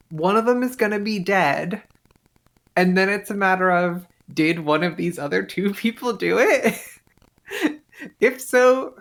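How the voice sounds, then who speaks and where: A woman chatting casually and analytically, halting as she works out her ideas aloud. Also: medium pitch.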